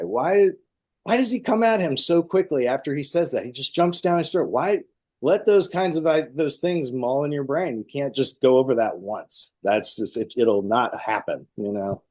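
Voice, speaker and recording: A man who teaches theater acting, explaining in a conversational, animated and emphatic way during an interview. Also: on the high side.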